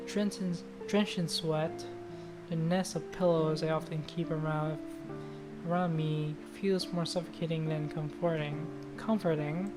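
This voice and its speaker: Low-voiced woman streamer reading aloud. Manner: casual, sweary. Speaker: game streamer. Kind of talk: reading a visual novel aloud with casual asides. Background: loud guitar music.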